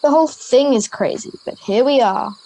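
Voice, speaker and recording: A woman's voice: a little high, normal speed, vocal fry, mellow, low-quality recording. An Australian girl of ten or eleven playing an offhand, grumbling schoolgirl in an amateur radio play. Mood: puzzled. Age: child, 10 to 11. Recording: home video-call recording.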